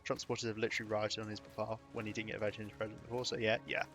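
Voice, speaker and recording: A man's voice: fairly low pitch, monotone, rapid-fire, a bit bright, faint music behind. A young British man chatting casually while streaming a game, rambling and chuckling between remarks. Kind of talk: live gaming commentary.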